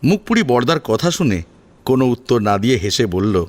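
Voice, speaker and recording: A man's voice: mid-range voice, big pitch swings, moderate pace. A man narrating a scripted family drama as a storyteller, in a dramatic audio-story style.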